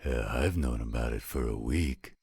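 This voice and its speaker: Man voicing a gravelly, rock-bottom werewolf stepfather. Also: a bass voice, a little mellow, no echo, full character voice. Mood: fatherly, approving. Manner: gruff, dry, unhurried. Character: gruff, patient older werewolf stepfather. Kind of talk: scripted roleplay audio drama.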